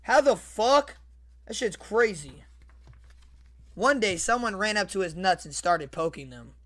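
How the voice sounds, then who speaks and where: A young man reading aloud casually on a livestream, swearing, slangy and repeating his phrases in an animated way. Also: high-pitched, a little slow. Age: young adult. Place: small bedroom, indoors. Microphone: close desk microphone with headset.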